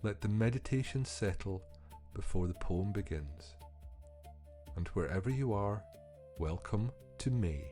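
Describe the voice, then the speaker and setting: A man reading scripted guided-meditation narration in a soothing, unhurried manner with measured pauses. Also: lowish voice, expressive, mellow, soft background music. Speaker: podcast host and meditation guide.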